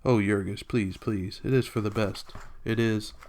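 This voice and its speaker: A man's voice: mid-range voice, steady tone, normal speed, natural voice. A man voicing an anguished, desperate wife in an audiobook, pleading in broken, frantic phrases. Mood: weak, pleading.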